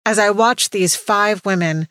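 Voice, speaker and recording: A woman's voice: medium pitch, unhurried, fairly bright tone, smooth, no room sound. A woman hosting a podcast, reading a scripted introduction to personal stories.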